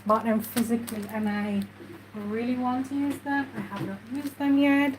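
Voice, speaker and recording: A woman's voice: fairly high pitch, fairly even intonation, background noise. A woman with a stuffy nose, chatting casually through a craft tutorial while she works. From Jamaica. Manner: chatty, unhurried, talks while working. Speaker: craft journaling host.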